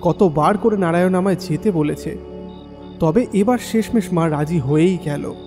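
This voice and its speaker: A man's voice: high voice, measured pace, darkish tone. A man playing a dutiful, eager young village son in an audio drama, with dialogue and first-person storytelling. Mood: reflective narration. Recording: long hall reverb, music underneath.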